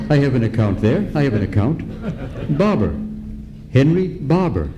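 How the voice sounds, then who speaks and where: A man's voice: mid-range voice, muffled recording. An actor playing a fussy, indignant elderly man in an old-time radio comedy, talking in a pompous, sputtering, old-fashioned way. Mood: fussy, insistent. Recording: noisy live ballroom stage recording.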